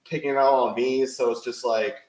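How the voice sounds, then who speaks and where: A man in his forties co-hosting a fantasy sports show, rambling through animated stories full of "like" fillers. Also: mid-range voice, brisk, echoey room, a little hiss or hum, poor audio. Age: 40s. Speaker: fantasy sports analyst co-host. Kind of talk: casual chat.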